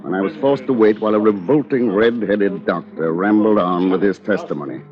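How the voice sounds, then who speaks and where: Low-voiced, calculating British schemer played by a man. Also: natural voice. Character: calculating, cool-headed English man confessing his schemes. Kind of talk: 1940s radio drama, first-person narration. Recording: dull vintage radio recording.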